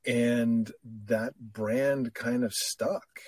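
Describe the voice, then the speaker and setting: A middle-aged man, a coach and podcast guest, talking candidly and reflectively in a relaxed conversation. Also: medium pitch, very slow, breathy edge, no room sound, studio quality. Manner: reflective, candid, self-deprecating. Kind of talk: casual conversation.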